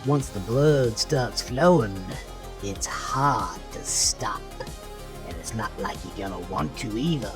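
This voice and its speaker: A man's voice: on the low side, animated, slow, music in the background. A man playing a folksy, gleefully morbid horror host uncle in an audio drama, with a teasing, conspiratorial drawl. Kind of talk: 1980s horror anthology host intro.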